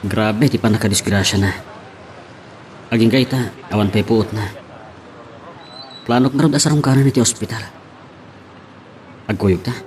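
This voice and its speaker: A man's voice: lowish voice, normal speed, long pauses, a little mellow, slight background noise, close to an everyday voice. A man playing a serious, concerned boss in an Ilocano radio drama.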